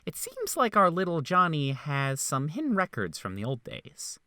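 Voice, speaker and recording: A man's voice: on the high side, huge pitch swings, moderate pace, sharp tone, no echo, full character voice. A man playing a wry, smug doctor in a game dub, with a sardonic, theatrical delivery. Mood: wryly intrigued.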